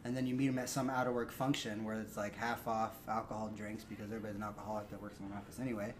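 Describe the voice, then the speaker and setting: A man's voice: lowish voice, level tone, very fast, slight background noise. A man hosting a comedy podcast, rambling through crude jokes with lots of fillers. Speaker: podcast host. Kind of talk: casual comedic chat.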